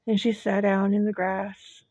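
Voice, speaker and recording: Mellow, even-toned woman telling a suspenseful story. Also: mid-range voice, a little slow, no echo. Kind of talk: slow scripted horror storytelling. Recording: dull lo-fi, music bed behind. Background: background music.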